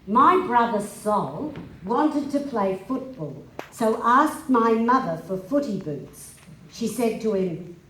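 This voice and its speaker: A woman tells a memoir on stage with very lively intonation and an unhurried pace. The hall around her is echoey. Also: on the high side. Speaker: stage reader. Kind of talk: dramatic reading of a memoir on stage. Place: live literary event in a hall. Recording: echoey hall, faint background noise.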